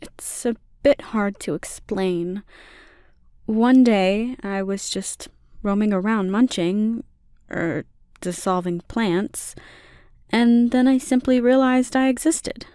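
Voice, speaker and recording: Lady doing a sweet caring slime monster girl for asmr, soft n soothing, mellow tone, super dry close sound, bit teasing. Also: medium pitch, fairly even intonation, slow, clean tone, dry recording, close to an everyday voice. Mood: gentle explaining. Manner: soft, soothing, intimate delivery. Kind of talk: ASMR roleplay.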